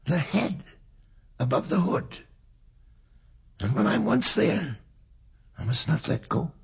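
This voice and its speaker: Little male mongoose hero, keyed-up and fearful, high voice, breathless. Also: big pitch swings, slow pace, creaky, husky, dull audio, heavily acted. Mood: anxious, plotting. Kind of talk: 1940s radio acting.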